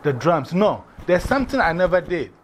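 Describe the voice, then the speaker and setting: Ghanaian male teacher, animated classroom delivery, high pitch, faint classroom ambience. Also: normal speed, lo-fi sound, slight background noise. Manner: lively, repeats and spells out words, laughs often.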